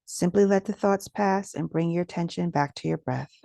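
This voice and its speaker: A woman leading a guided meditation as its teacher, speaking in a gentle, soothing, instructional manner with soft pauses. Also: on the low side, gravelly, dry recording, studio quality.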